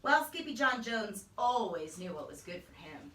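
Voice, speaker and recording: Bright-voiced woman narrating from afar. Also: mid-range voice, big pitch swings, moderate pace, a little hiss or hum. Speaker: teacher narrating a storybook. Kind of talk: children's read-aloud narration. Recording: roomy, distant microphone.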